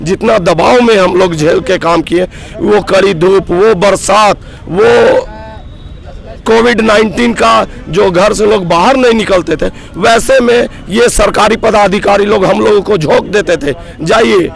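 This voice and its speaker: A hoarse man in his thirties, a block coordinator at a roadside protest, speaking earnestly and with rising grievance in a street interview. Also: high-pitched, unhurried, low-quality recording. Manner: aggrieved, earnest, builds to emphatic outbursts. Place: roadside protest sit-in outdoors.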